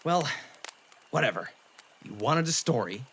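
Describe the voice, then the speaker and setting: Man voicing a hot-tempered half-demon, cocky, gruff, very animated pitch, anime audio drama, faint background noise. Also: measured pace. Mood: grudging. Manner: cocky, defensive, gruff bragging.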